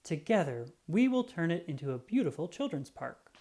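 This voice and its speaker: Woman reading as an upbeat storybook boss man, mellow. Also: sits low, very animated, normal speed. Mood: announcing. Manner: cheerful, encouraging. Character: upbeat man, boss of a lumber yard crew.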